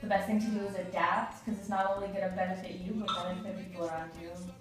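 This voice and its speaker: A woman's voice: medium pitch, level tone, fast. Chatty woman, echoey and distant. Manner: chatty, laughing often, heavy use of like. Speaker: interviewee. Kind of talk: casual interview answer. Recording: echoey room from far off, faint music.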